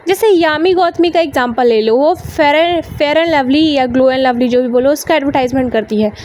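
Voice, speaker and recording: A woman's voice: high-pitched, fairly quick, a little mellow, slight background noise. A young woman hosting a casual commentary podcast, talking in a chatty way with English words mixed in and frequent fillers. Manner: chatty, mixes English into Hindi, lots of fillers. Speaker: podcast host.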